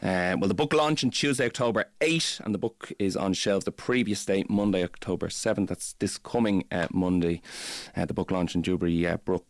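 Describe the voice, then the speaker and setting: Deep-voiced Dublin radio host. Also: male voice, a little fast, no room sound, clean studio sound. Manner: rambling, hesitant questions with many restarts. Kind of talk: radio interview.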